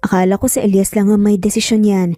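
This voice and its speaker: A woman's voice: mid-range voice, little pitch movement, fast, warm tone, no echo, soft background music, natural voice. A woman voicing a reluctant, easily flustered young woman falling in love in a narrated romance story. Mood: hesitant.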